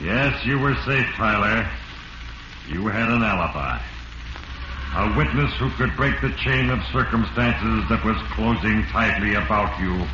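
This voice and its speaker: An actor voicing a solemn spirit of destiny, narrating a 1940s radio mystery with grave, portentous delivery. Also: male voice, on the low side, expressive, unhurried. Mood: ominous. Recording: echoey, muffled, noisy vintage radio recording.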